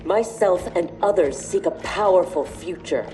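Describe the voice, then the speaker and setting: A woman's voice: fairly low pitch, measured pace, warm tone, faint music behind, lightly acted, rough recording. A woman playing a hard, militant futuristic warrior in a video game, with clipped, formal, cold delivery. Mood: cold, defiant. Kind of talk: dramatic video game cutscene acting.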